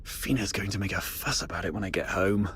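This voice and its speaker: An actress playing a wry young woman investigator in a video game, musing to herself in a hushed, breathy, husky voice. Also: rumbling low, steady tone, brisk, slight background noise. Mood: wry, uneasy.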